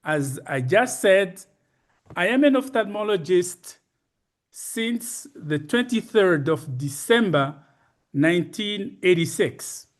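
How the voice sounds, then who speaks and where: Male presenter, early seventies. High-pitched voice, halting and courteous delivery of a personal talk. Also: lively intonation. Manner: halting, deliberate, formal and courteous. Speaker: conference speaker, retired ophthalmologist.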